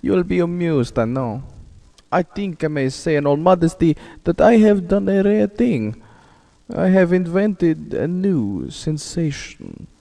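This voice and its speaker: An actor playing a suave, boastful aristocratic general who hunts men, in a courtly, theatrical old-time radio drama style. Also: male voice, fairly high pitch, expressive, unhurried, creaky, mellow. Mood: courtly, reflective.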